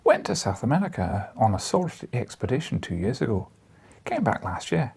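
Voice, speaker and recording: A man voicing a gruff, brusque older newspaper editor in an audiobook, speaking in a curt, emphatic way. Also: medium pitch, big pitch swings, a little fast, a little mellow, heavily acted. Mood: gruff, dismissive.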